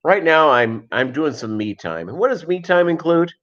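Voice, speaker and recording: A middle-aged man chatting casually as a livestream host, in a rambling, halting way that often repeats phrases. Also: on the high side, lively intonation, fairly bright tone. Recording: dull lo-fi livestream audio.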